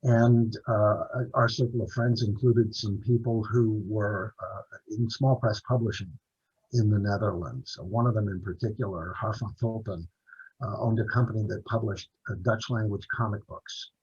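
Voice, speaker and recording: Husky-voiced man on a video call. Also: fairly low pitch, fairly even intonation, moderate pace, darkish tone, highs cut off. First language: English. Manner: rambling storytelling with frequent restarts and fillers. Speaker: author and translator, interview guest. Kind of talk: conversational interview over video call.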